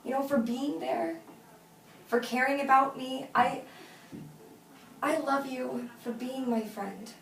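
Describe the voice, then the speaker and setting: Woman acting a fond but fed-up best friend letting someone down gently, film scene, echoey room, mic kinda far. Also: sits high, slow, vocal fry, pauses often, exaggerated voice. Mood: gentle, apologetic. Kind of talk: naturalistic film dialogue at a table read. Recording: roomy, distant mic with faint noise.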